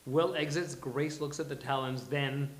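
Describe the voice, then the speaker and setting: Slow, deliberate male host in echoey venue. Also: a little high, steady tone. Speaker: host and stage-direction reader. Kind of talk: live table read, announcing and narrating script. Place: live venue with audience.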